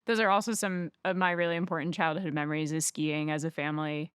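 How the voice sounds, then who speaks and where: A woman's voice: low-pitched, little pitch movement, fast talker, smooth, no room sound, clean studio sound. A young adult woman speaking reflectively in a documentary interview. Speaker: interviewee, daughter.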